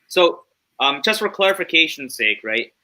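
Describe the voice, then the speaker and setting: Upbeat guy hosting a theater stream, really bright voice, kinda high. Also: normal speed. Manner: upbeat, friendly, lively interjections. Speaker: host. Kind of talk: casual interview chat.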